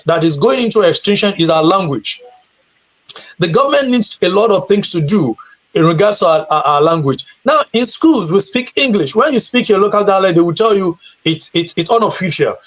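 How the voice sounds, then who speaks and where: A man from Nigeria, a guide and teacher, answering questions earnestly on a video call, often repeating words as he searches for them. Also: high voice. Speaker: guest tour guide and teacher. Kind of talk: live stream interview answer.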